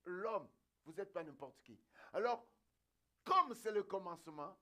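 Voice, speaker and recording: A man's voice: sits high, expressive, unhurried, pushed and bright. A male pastor preaching a sermon in an emphatic, exhorting and repetitive way.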